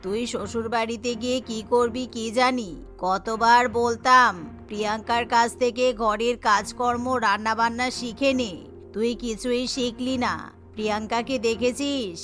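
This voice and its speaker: A woman voicing a gentle, worried mother of grown daughters in a cartoon, softly scolding and fretful. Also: a little high, fairly even intonation, moderate pace, voice pushed down, close to an everyday voice. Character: gentle, worried mother of twin young women. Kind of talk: Bengali cartoon voice acting. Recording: music behind the voice.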